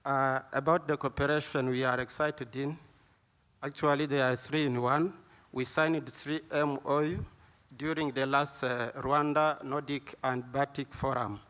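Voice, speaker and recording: A man speaks on a conference panel in a medium-pitched voice. He answers plainly and to the point. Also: flat delivery, measured pace, fairly bright tone, clear, resonant tone. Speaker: quality assurance director, panelist.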